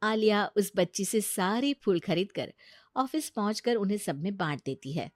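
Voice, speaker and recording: A woman's voice: mid-range voice, lively intonation, normal speed, no echo, clean studio sound. A woman narrating a scripted story in a dramatic storytelling style.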